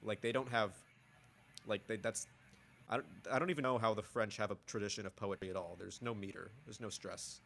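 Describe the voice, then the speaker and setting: A man with a somewhat low voice chats loosely on a podcast, circling his points through many restarts. Faint ambience hums behind him. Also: steady tone, brisk, creaky, a bit bright, slight background noise. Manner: rambling, riffs into joke accents. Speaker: podcast co-host. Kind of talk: casual podcast chat.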